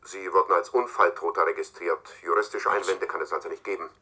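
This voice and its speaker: An actor playing a cool, domineering scientist in a science-fiction radio play, delivering a formal, measured, matter-of-fact message. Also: male voice, low voice, steady tone, exaggerated voice. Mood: coolly formal. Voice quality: husky, sharp-edged. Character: cool, domineering male scientist leaving recorded instructions to successor.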